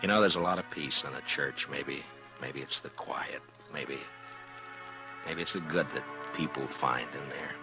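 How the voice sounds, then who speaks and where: An American actor playing an easygoing, reflective frontier marshal who narrates in an old-time radio western. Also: male voice, rumbling low, normal speed, gravelly. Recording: muffled old radio recording, music behind.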